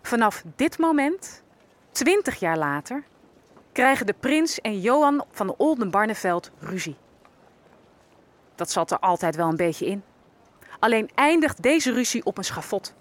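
An actress playing a wry, knowing enslaved woman who survived, telling her life story in a measured way for an audio drama. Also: on the high side, sing-song. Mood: knowing, ominous. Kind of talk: dramatised first-person narration in an audio drama.

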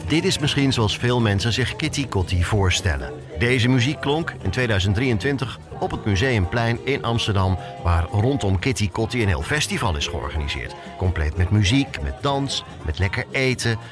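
A man's voice: lowish voice, expressive, normal speed, music in the background. A man hosting a podcast, narrating conversationally and addressing the listener in an informal, direct way. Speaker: podcast host.

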